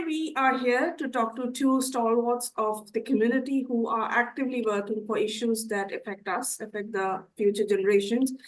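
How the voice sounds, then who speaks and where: A woman with a croaky voice, hosting a formal community interview and introducing her guests politely. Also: a little high, rough recording. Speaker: interview host.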